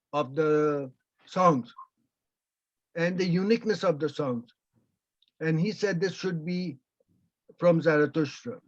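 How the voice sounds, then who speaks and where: Persian-speaking man, unhurried and halting, fairly high voice, muffled call audio. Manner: slow, halting, with many pauses. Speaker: invited speaker. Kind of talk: answering audience questions. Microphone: video call. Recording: dull lo-fi video call audio.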